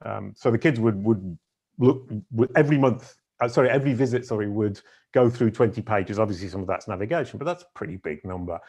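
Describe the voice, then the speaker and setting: A middle-aged man with a big deep voice, a podcast guest telling long stories in casual conversation, with fillers and self-corrections along the way. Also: brisk, a little mellow, husky. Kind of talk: casual storytelling interview.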